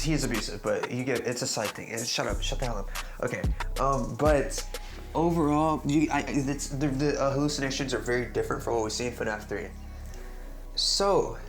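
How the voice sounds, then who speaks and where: A man's voice: medium pitch, normal speed. A young man giving casual, unscripted commentary on a game theory, stuttering often, restarting sentences and tagging points with "right?". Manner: rambling, keeps asking "right?". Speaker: YouTuber discussing game theories. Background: music in the background.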